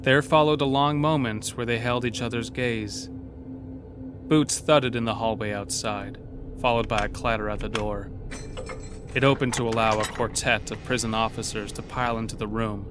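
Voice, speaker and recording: A man narrates a scripted horror tale in a middle-pitched voice. Music plays clearly behind the storytelling. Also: steady tone, moderate pace.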